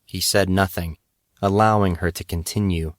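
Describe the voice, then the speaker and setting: A man reading scripted fiction as narrator, in a measured, dramatic storytelling manner. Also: low voice, steady tone, no room sound, clean studio sound.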